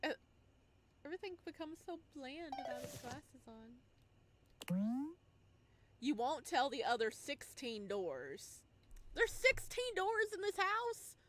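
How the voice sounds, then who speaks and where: A woman with a high voice and very lively intonation, commenting on a game as she plays. She reads on-screen text aloud and breaks into playful, singsong exclamations. There is clear noise in the recording. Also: takes it slowly, long pauses. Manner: expressive, exclaiming, singsong asides. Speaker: game streamer.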